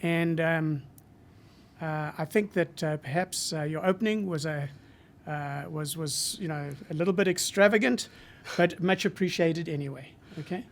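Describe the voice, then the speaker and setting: Man in his sixties, Zimbabwean accent, somewhat high voice, reflective, hesitant, podcast guest. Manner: reflective, measured, frequent hesitations. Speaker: marketing professor, guest. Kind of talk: podcast interview.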